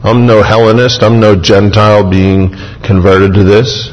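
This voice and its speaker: A man's voice: on the low side, fairly even intonation, unhurried, lo-fi sound. A man preaching a sermon, emphatic, retelling scripture in a vivid first person. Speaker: pastor. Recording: long hall reverb.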